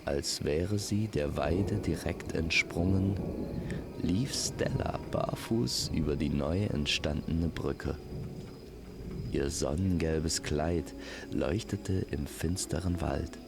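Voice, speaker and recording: A man telling a scripted fantasy story as an immersive campfire narrator, speaking gently and poetically to the listener. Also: extremely low pitch, gravelly, music in the background. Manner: slow, gentle, poetic delivery. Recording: constant night insect and nature ambience. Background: crickets and forest night sounds.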